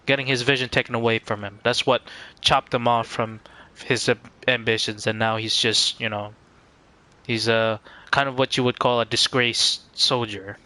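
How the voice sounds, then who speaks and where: A man's voice: mid-range voice, fairly even intonation, normal speed, bright, forceful tone. A man giving casual, rambling live commentary as he streams a game.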